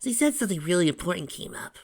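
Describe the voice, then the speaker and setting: A man voicing a brisk, reassuring motherly woman in an amateur story reading. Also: sits high, big pitch swings, breathy edge, voice pushed up, heavily acted.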